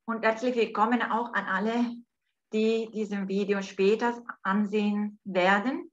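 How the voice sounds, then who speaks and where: A woman from Italy with an Italian accent, hosting an online talk by video call from home, speaking slowly and hesitantly with many fillers. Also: medium pitch, fairly even intonation. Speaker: host. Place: home room, indoors. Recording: dull lo-fi video call audio.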